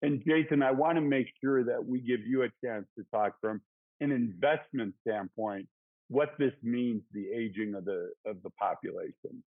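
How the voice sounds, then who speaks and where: A man's voice: medium pitch, moderate pace, dry recording. Man on a tinny phone line. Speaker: financial firm conference call host. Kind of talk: explaining finance on a conference call. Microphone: phone or conference call line.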